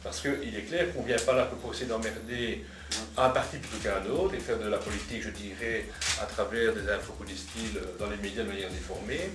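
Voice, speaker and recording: A man's voice: fairly low pitch, steady tone, brisk. Distant, echoey man in political debate. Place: cafeteria. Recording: roomy, distant mic, noisy with clicks.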